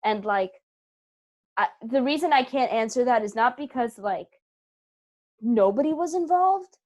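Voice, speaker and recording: A woman's voice: a little high, animated, measured pace, darkish tone. A young woman giving conversational interview answers in an effusive, emphatic way. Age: young adult. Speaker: interview guest, theatre company co-founder.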